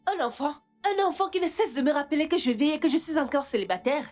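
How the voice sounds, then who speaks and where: A woman's voice: high voice, lively intonation, quick pace, darkish tone, soft background music. A woman in her thirties dubbing a bitter, reproachful girlfriend who accuses her partner. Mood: accusing. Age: 30s. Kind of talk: French dub of a film.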